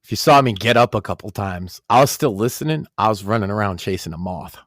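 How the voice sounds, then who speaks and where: A man's voice: on the low side, lively intonation, quick pace, no echo, clean studio sound. A man from Massachusetts with a light Northeastern accent, co-hosting a casual podcast and joking profanely. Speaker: podcast co-host. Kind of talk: casual chat.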